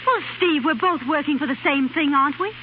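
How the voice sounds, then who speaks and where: Casting: actress as anxious, loving young working wife, high voice, 1930s radio melodrama, heavy vintage hiss. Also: female voice, fairly quick, natural voice. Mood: earnest, strained. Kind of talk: 1930s radio drama acting. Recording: scratchy, noisy, tinny 1930s radio recording.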